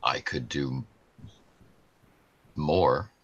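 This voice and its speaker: A man's voice: very deep voice, extremely slow, muffled recording, background noise. A man playing a tabletop game, with a dry, teasing way of talking. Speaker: player. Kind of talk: casual tabletop play.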